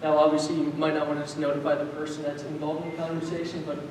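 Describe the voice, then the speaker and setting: A man helping with a live demo, making quick offhand joking asides. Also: on the high side, completely flat delivery. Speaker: demo assistant. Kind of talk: casual chat. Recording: echoey hall from far off, noisy.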